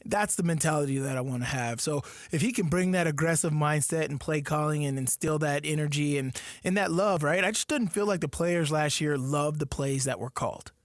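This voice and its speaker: A man's voice: on the high side, fairly quick, few pauses, no echo, clean studio sound. A man co-hosting a sports radio show, talking casually and animatedly with lots of fillers and laughs.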